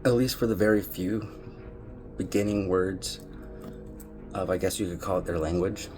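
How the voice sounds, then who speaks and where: A man delivering an earnest spiritual monologue in a solemn, deliberate manner, leaning on repeated words for emphasis. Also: low-pitched. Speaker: spiritual content creator speaking to followers. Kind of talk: earnest spoken monologue on personal spiritual beliefs. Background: music.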